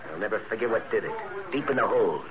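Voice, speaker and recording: An actor playing a cold, sneering wartime saboteur who speaks in tough gangster slang, in 1940s radio drama style. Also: male voice, fairly low pitch, animated, fast talker, lightly acted. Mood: sneering, gloating. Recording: noisy muffled old radio recording.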